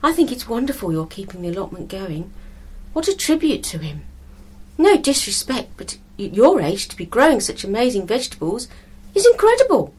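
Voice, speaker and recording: A woman's voice: fairly high pitch, sing-song, fairly quick, a little mellow, husky, voice pushed up. Woman acting an eager, kindly woman gardener. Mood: sympathetic, admiring. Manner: eager, polite, reassuring. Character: curious, kindly, excited woman allotment gardener.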